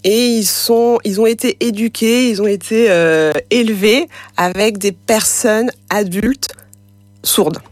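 A woman guest whose first language is French Sign Language talks in a casual, hesitant way, often pausing and restarting her sentences. Her voice sits at a middle pitch for a woman. The recording is dry and close. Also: no echo. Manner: hesitant, many fillers and restarts. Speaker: interview guest. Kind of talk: casual conversational interview.

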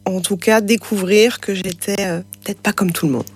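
A woman's voice: mid-range voice, steady tone, moderate pace, slightly rough. Hesitant woman, first language sign language. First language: French Sign Language. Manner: hesitant, many fillers and restarts. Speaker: interview guest. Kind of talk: casual conversational interview.

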